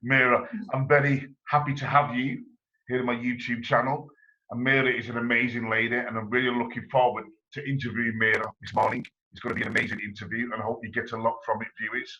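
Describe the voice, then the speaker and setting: Enthusiastic male host on a video call, bright tone, dull low-fi sound. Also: level tone, quick pace. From England. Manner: enthusiastic, effusive, rambling questions. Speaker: interviewer, channel host. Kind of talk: online interview.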